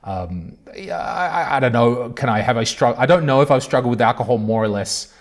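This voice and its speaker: A man hosting a podcast, with a voice sitting at an ordinary middle pitch. He talks in a loose, rambling way, drifting into personal stories and joking asides before getting to his question. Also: expressive, a little fast. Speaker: podcast host. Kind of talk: casual interview chat.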